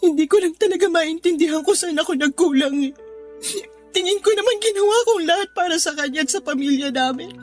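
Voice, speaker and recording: A woman's voice: extremely high pitch, brisk. An actress playing an anguished, self-doubting betrayed wife and mother in a radio drama, tearful and pleading as she cries. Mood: bitter, tearful. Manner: tearful, pleading, repeats herself. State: crying. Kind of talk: Tagalog radio drama acting. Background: background music.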